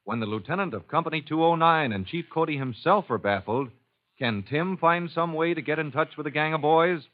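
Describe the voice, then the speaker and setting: A man with a slightly high voice delivers dramatic, emphatic radio-drama announcements. The sound is dull and lo-fi, like an old broadcast. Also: brisk.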